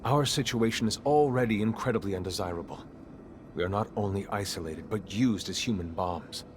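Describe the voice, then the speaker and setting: An actor playing a grave, world-weary, middle-aged master of a secret order in a video game, speaking in a measured, instructive way. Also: male voice, lowish voice, noisy background, close to an everyday voice. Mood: grave, instructing.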